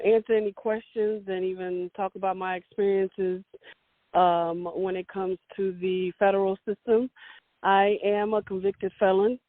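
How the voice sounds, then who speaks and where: A woman's voice: mid-range voice, no echo. A woman in her forties from Romania, telling her story as an interview guest with many hesitant fillers. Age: 40s. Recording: dry, tinny phone line.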